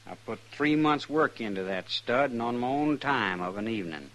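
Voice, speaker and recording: A man's voice: medium pitch, expressive, normal speed, fairly bright tone, natural voice, rough recording. An American man playing a stubborn, aggrieved ranch hand in a radio western, with defensive, folksy speech. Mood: defensive, indignant.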